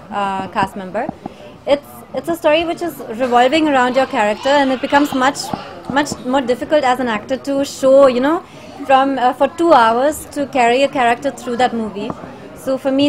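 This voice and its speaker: Chatty actress amid a noisy crowd. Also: female voice, fairly high pitch. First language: not Hindi. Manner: chatty, searching for words with many fillers. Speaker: actress promoting a film. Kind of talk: press interview answers. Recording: noisy crowd around the press interview.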